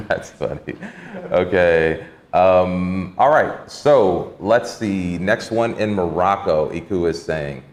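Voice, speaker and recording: Deep-voiced man hosting a live stream. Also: a little hiss or hum. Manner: chatty, reads viewer comments aloud. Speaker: host. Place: indoor co-working space.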